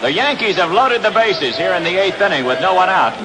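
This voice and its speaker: A man's voice: high-pitched, big pitch swings, a little fast. A man with an American accent calls live baseball play-by-play on a noisy 1960s radio broadcast from an outdoor ballpark. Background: loud ambient ballpark noise.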